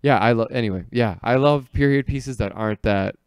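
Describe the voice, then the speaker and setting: A man's voice: mid-range voice, fairly quick, a little mellow, clear, resonant tone, no room sound, clean studio sound. An American man telling stories in casual, comedic podcast chat, joking and peppering his talk with "like".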